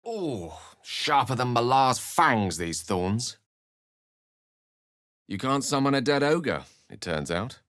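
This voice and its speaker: An actor playing a courteous, erudite wizard adventurer in a video game, with eloquent, measured, theatrical phrasing and a wry, earnest temper. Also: male voice, medium pitch, very animated, a bit bright, no room sound, clean studio sound. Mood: wry, concerned. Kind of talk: video game character lines and narration.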